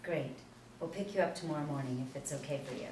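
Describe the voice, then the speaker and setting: A composed female investigator, read by a woman from a script. Her voice is on the low side, measured and reassuring. The room echoes and there is clear background noise. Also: recorded from a distance, natural voice. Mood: calm, persuasive. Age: young adult. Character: composed, persuasive female federal agent. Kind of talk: scripted table read of a TV pilot. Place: small indoor room, seated reading.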